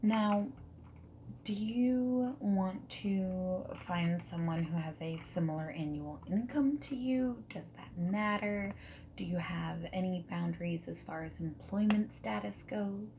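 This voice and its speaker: A woman playing a friendly, non-judgmental dating service matchmaker in a gentle, soft-spoken and whispered ASMR roleplay. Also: mid-range voice, muffled recording, a little hiss or hum, lightly acted. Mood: gentle, businesslike. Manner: gentle, reassuring, asks questions and pauses for answers. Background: mechanical keyboard typing and clicks.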